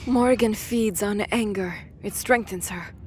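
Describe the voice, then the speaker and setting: A woman's voice: medium pitch, a little slow, slight background noise, natural voice. A woman playing a protective, caring water goddess in an audio drama, earnest in manner. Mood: grave.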